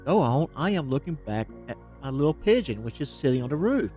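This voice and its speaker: A man voicing the young boy Hansel in a storybook reading, soft and comforting toward his sister. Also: medium pitch, sing-song, fairly quick, lo-fi sound, faint music behind, lightly acted. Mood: gentle.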